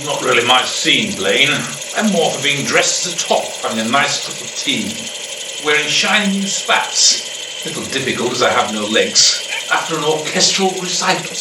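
An amateur actor playing a prim, complaining ghost sidekick in a comic radio play, fussy and droll. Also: male voice, medium pitch, animated, normal speed, background noise, close to an everyday voice. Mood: peevish, droll. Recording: echoey, distant recording with music behind.

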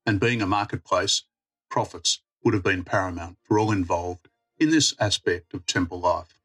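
A man's voice: low-pitched, normal speed, fairly bright tone, slightly rough, faint music behind. A man reading a prepared religious reflection on scripture. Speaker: church mission worker giving a reflection.

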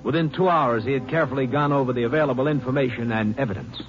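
A male announcer giving formal, measured scripted narration for a 1950s radio drama. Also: medium pitch, close to an everyday voice. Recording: dull lo-fi old radio recording with music.

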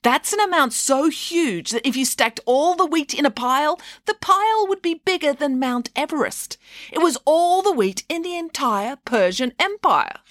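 A woman narrating a children's story in an expressive, dramatised reading style. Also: high voice, normal speed, dry recording, clean studio sound.

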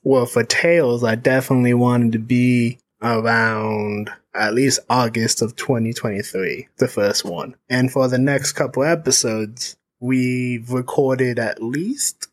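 Man, podcast host, casual chat, relaxed, restarts, medium pitch. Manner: relaxed, halting, with restarts and slang. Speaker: podcast host being interviewed.

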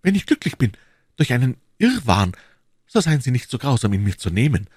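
A man's voice: medium pitch, very animated, a little fast, a little mellow, breathy edge, no room sound, clean studio sound, voice pushed up. A man voicing a passionate, lovestruck English duke from a classic novel, pleading and fatalistic in an ardent, courtly, declamatory manner.

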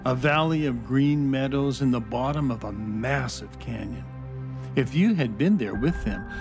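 A man narrating a scripted children's adventure story in a measured storybook delivery. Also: mid-range voice.

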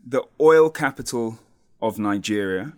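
A man calmly describing his city as a guest on a talk program, speaking in a measured, descriptive way. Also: medium pitch, clean tone. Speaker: community radio guest. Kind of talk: conversational explanation.

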